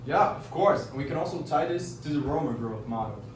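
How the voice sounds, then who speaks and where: A man's voice: on the high side, expressive, a little fast, a little hiss or hum, natural voice. A young man playing an economist colleague who explains with some fillers, recorded from far off in a very echoey room. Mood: explaining.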